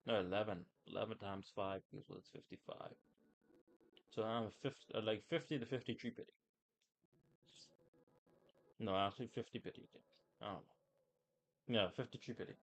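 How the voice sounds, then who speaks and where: A man's voice: on the low side, steady tone, normal speed, vocal fry, pauses often, slight background noise. A man chatting casually on a gaming livestream in a slangy, informal way, talking to his viewers. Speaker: game livestreamer.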